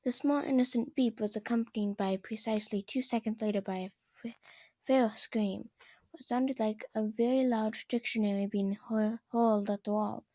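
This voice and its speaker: A woman narrating a story as an amateur reader, halting and often stumbling over words. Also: a little high, steady tone, warm tone, dry recording. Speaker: fan fiction reader.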